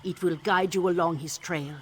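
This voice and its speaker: A woman playing a wise, solemn and commanding Nord shamaness in a video game, speaking in a measured, incantatory way. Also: on the low side, fairly even intonation, a little hiss or hum, close to an everyday voice. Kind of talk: video game character voice acting. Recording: game music behind.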